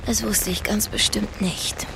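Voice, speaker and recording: A woman's voice: mid-range voice, steady tone, a little slow, creaky, heavy background noise. An actress playing a worldly, protective, weary mother in a radio drama, frank and insistent. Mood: reproachful.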